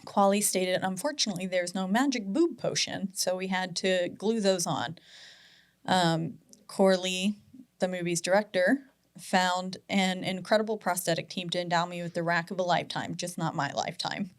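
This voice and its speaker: A woman's voice: lowish voice, normal speed. A woman around thirty co-hosting a podcast, reading out movie facts with casual asides. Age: 30s. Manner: reads facts aloud with asides. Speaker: podcast co-host. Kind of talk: casual chat, reading trivia.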